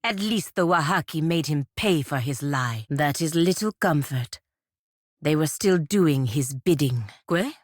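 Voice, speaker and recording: An actress playing a proud, duty-bound godlike knight in a video game, speaking formally and gravely. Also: female voice, low-pitched, animated, unhurried, no echo, clean studio sound. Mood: dry, disapproving.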